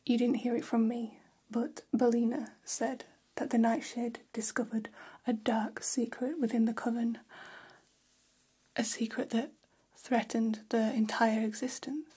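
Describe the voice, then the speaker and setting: An elegant vampire hairstylist, played by a woman, whispers in a very breathy, mellow voice. She confides salon gossip slowly and soothingly, close to the mic, in an ASMR role-play. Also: on the high side, steady tone. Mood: hushed, confiding. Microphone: close ASMR microphone.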